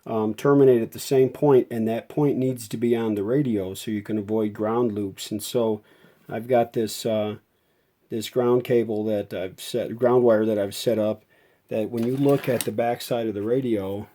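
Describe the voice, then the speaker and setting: A man's voice: on the low side, fairly even intonation, moderate pace, warm tone. A man giving an unscripted, hands-on repair demonstration as a restorer, explaining things step by step in a folksy way.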